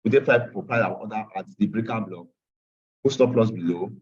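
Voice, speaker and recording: Man, tired, lowish voice, distant dull lo-fi call audio. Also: brisk. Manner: repeats phrases, drops into Nigerian Pidgin asides. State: tired after a long day. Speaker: forex trading teacher. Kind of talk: live online class walking through charts.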